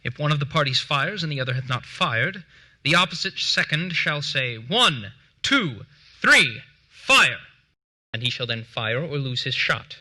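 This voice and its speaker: A male actor plays a stern gentleman of early America in a historical stage drama. The voice is very sharp and forceful at a middling pitch. He declaims formal documents slowly and gravely, with careful diction. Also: big pitch swings, heavily acted, low-quality recording. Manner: formal, declamatory, deliberate, crisply enunciated. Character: formal early American duel second, measured and grave, reading account. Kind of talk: theatrical dramatic reading of period documents. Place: theatre stage before a seated audience.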